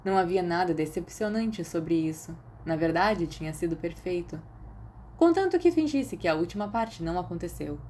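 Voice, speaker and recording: A woman with a Brazilian accent reading fiction aloud as an expressive scripted narrator. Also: on the low side, slight background noise. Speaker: audiobook-style narrator of fan fiction.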